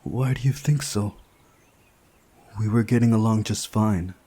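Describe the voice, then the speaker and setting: A man's voice: lowish voice, unhurried, warm tone, slightly rough. A man voicing a defensive, unsettling teenage girl in a visual novel, speaking insistently and emphatically. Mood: defensive, indignant.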